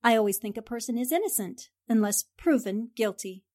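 A woman playing a polite, cautious newspaperwoman in a 1940s-style radio drama, measured and reserved. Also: on the high side, lively intonation, darkish tone, lightly acted. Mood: cautious, reassuring.

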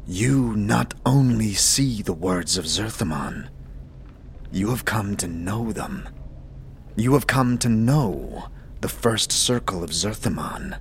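A man playing a solemn, disciplined warrior sage who speaks in a grave, formal, measured way. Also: fairly low pitch, lively intonation, slight background noise. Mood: grave, stern.

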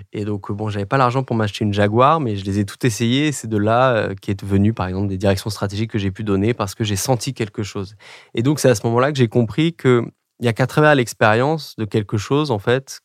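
A man's voice: on the low side, dry recording, clean studio sound. A French man in his thirties, a podcast guest talking in a reflective, digressive way with many fillers and restarts. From France. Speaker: podcast guest, agency director. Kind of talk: conversational interview.

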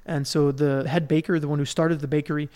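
A man from Belgium with a fairly high-pitched voice speaks as a podcast host. He explains in a conversational, didactic way, building his points one step at a time and often checking that the listener follows. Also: level tone, a little fast, darkish tone.

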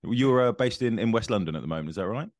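A man hosts a podcast with an upbeat, chatty manner, his low voice swinging lively across its range. He talks quickly, and the recording is dry and close. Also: no echo. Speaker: podcast host. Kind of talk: hosting an interview podcast.

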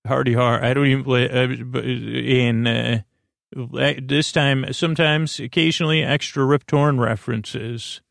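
A man with a creaky voice hosting a sleep podcast, rambling in a lulling, hesitant way as he wanders through tangents, repeating and interrupting himself. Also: mid-range voice, fairly even intonation, unhurried, a bit bright, no echo, clean studio sound. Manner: lulling, soothing delivery. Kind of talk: rambling, tangent-filled bedtime podcast monologue.

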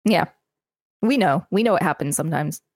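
A woman's voice: fairly low pitch, expressive, normal speed, no echo. A woman co-hosting a podcast, reading an article aloud and adding informal, conversational comments. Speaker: podcast co-host.

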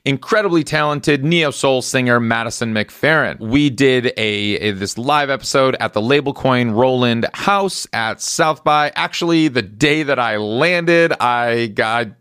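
A hoarse man hosting a podcast, introducing the episode in an upbeat, promotional way. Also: medium pitch, fairly bright tone, dry recording, studio quality. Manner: upbeat, promotional, quick asides. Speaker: podcast host.

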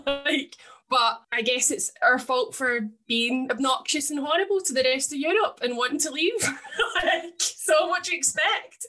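A young woman hosting a casual podcast chat, giggly and quick to exclaim. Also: high voice, pushed and bright. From Scotland. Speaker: podcast host.

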